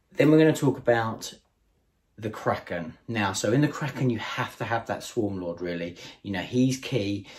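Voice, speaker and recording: A man with a slightly low, hoarse voice that sounds worn out, explaining tabletop wargame tactics to camera. He is enthusiastic and chatty, calling his viewers guys as he runs through his picks. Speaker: tabletop wargame channel host. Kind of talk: casual strategy tutorial to camera.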